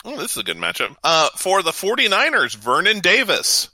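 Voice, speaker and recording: A man's voice: sits high, huge pitch swings, moderate pace, pushed and bright, no echo. A man co-hosting a casual sports debate, reading out matchups and giving his picks in an offhand, chatty way.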